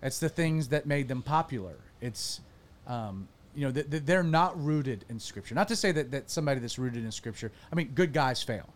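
A man who pastors and hosts a talk show, chatting casually with a panel, animated and self-deprecating, often restarting his sentences. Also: medium pitch, normal speed, few pauses. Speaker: pastor and podcast host.

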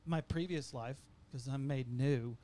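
A man's voice: mid-range voice, a little slow, dark tone. A man casually sharing his faith story, candid and self-deprecating, with a slight stutter. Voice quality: mentions a stutter. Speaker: guest sharing testimony. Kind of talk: casual chat.

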